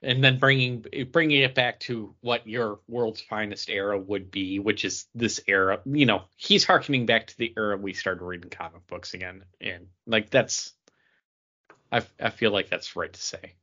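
A man's voice: lowish voice, normal speed, pushed and bright, lo-fi sound. A man co-hosting a podcast in loose, casual conversation with the panel. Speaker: comics podcast co-host.

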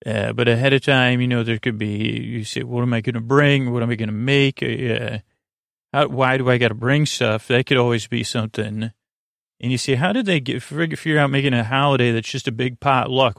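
Creaky-voiced American man, meandering sleep podcaster. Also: medium pitch, dry recording, clean studio sound. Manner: meandering, halting, self-interrupting. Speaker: podcast host. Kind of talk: rambling sleep podcast monologue.

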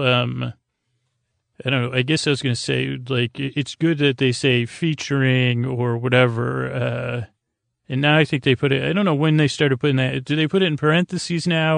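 A man hosting a bedtime podcast in a creaky, gentle tone, meandering and stammering as he trails off mid-thought. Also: mid-range voice, no echo, studio quality. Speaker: podcast host. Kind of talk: rambling, soothing bedtime sleep-podcast monologue.

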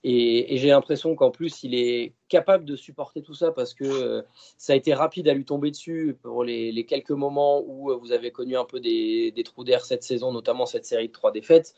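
A man's voice: mid-range voice, fairly even intonation, a little fast, darkish tone. A man, a podcast guest chatting casually, rambling with fillers and restarts. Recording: dull lo-fi sound.

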